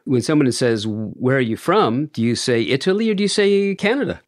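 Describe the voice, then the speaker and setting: A man's voice: medium pitch, animated, moderate pace, no room sound, clean studio sound. Thoughtful man explaining ideas at length. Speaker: podcast co-host. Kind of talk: reflective conversational discussion.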